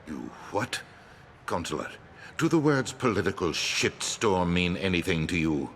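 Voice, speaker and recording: An actor playing a sour, officious political advisor in a video game, pointed and disapproving. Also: male voice, sits low, expressive, a little slow, fairly bright tone, a little hiss or hum, exaggerated voice. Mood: irritated. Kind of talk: video game dialogue acting.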